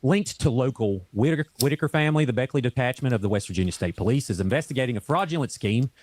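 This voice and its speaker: Man, hoarse, casual reader, mid pitch, dry sound. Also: lively intonation, quick pace, darkish tone, dry recording. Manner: casual, stumbles over words, adds corrections. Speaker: online video host reading a news statement. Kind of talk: reading a news report aloud.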